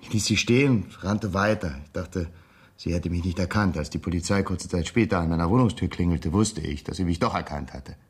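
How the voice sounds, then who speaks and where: A radio-drama blackmailer, a cold ex-convict with a low, husky voice. He presses his threats in a measured, insistent way, menacing and sure of his hold. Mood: grim confessing.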